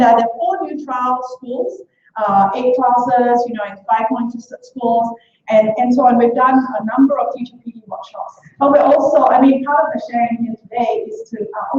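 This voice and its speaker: A woman's voice: a little high, a little mellow, a little hiss or hum. A woman from Singapore, giving a conference talk as an education researcher, hesitant with many fillers and restarts. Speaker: education researcher presenting at a workshop. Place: lecture room. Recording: echoey hall from far off, dull sound.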